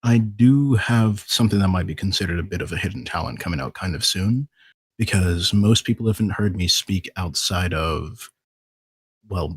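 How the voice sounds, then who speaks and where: A man's voice: sits low, breathy edge, no room sound. A man talking casually as a voice actor guest on a podcast panel. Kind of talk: casual chat.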